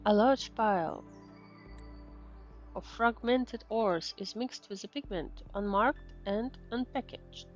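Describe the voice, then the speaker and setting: A woman's voice: mid-range voice, slow, lo-fi sound. A woman game streamer giving casual commentary in short murmured reactions and reading dialogue aloud. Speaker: streamer playing a game as a VTuber. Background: game music.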